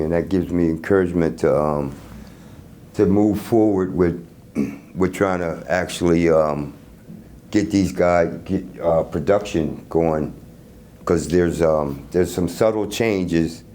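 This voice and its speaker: A middle-aged man casually explaining his product in an interview, halting and repeating words as he goes. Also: low-pitched, dark tone. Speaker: loudspeaker creator being interviewed. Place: hotel room at an audio show. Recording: noisy room ambience.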